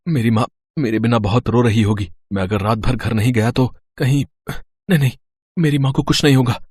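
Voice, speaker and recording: A man's voice: mid-range voice, steady tone, a little fast, darkish tone, slightly rough, no echo, lightly acted. An actor playing a poor, honest, simple young man who pleads earnestly, in a cartoon moral story. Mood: hesitant worry. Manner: earnest, pleading, talks aloud to himself.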